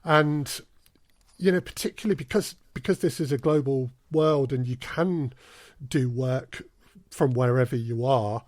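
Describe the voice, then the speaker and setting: A man's voice: medium pitch, measured pace, darkish tone, no echo. Man explaining in a dry-sounding interview. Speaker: guest, audio drama producer. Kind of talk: casual podcast interview.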